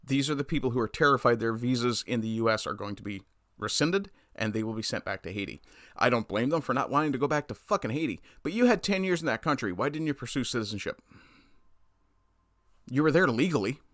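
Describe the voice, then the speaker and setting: Male streamer host, blunt and profane, bright forceful tone, dry close mic. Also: mid-range voice, expressive, fast, no room sound. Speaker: livestream commentator. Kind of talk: casual political commentary, reading chat.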